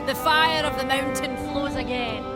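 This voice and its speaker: An actress playing a stern, dignified elder of a forge people in a video game, speaking in a measured, portentous way. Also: female voice, sits high, close to an everyday voice. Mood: solemn, dismissive. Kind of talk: video game character acting. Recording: loud game music behind.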